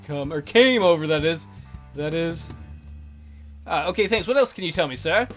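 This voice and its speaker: A man plays through a game, reading aloud and commenting casually. His voice is fairly high and very lively in pitch, with a sarcastic, joking way of talking. Faint music plays behind him. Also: normal speed. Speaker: let's player.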